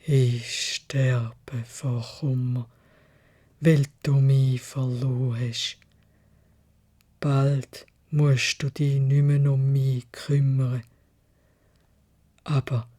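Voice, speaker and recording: Storybook beast played by a woman: dying, gentle, grieving. Low, faint, very slow delivery, Swiss German accent. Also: level tone, full character voice. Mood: weak, sorrowful. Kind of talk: storybook character voice.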